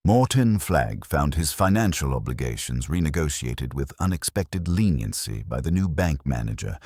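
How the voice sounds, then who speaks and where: Very deep-voiced man narrating a formal mystery. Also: darkish tone, breathy edge, no echo, clean studio sound. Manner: measured, formal, precise delivery. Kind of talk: scripted audio mystery narration, formal literary.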